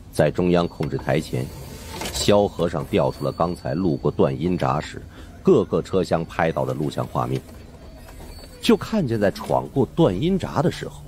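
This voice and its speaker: A man's voice: extremely low pitch, darkish tone, a little hiss or hum. A man narrating a supernatural suspense audiobook in a dramatic storytelling style.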